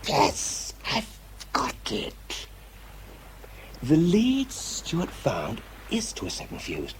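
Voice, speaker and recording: A man acting in a radio drama as an exhausted, shaky, methodical bomb disposal expert, speaking haltingly under strain. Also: medium pitch, darkish tone, slight background noise, lightly acted, poor audio. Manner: halting, hesitant, muttering as he works. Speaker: actor and narrator in a radio drama. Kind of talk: radio drama acting, first-person monologue.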